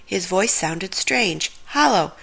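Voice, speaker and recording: Casting: female children's storyteller, very lively intonation, bright tone, slight gravel. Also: medium pitch, slow. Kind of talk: animated children's story narration.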